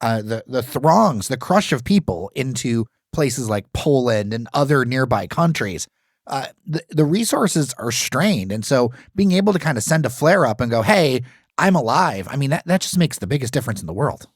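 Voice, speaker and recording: A man's voice: mid-range voice, expressive, normal speed, no room sound, studio quality. A man hosting an interview podcast, speaking in an earnest, emphatic way with long run-on sentences. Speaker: podcast host. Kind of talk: casual interview talk.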